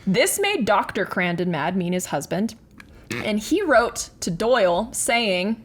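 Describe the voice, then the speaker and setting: A woman's voice: medium pitch, big pitch swings, a little slow, husky. A woman telling a story as a podcast host in a casual, conversational way, with informal, slangy phrasing.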